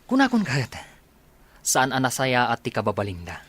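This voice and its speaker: A man's voice: mid-range voice, wildly swooping pitch, normal speed, fairly bright tone. A man playing a loyal, outspoken buddy in a radio drama. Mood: scornful. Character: loyal, outspoken male buddy riding along. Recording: faint car noise.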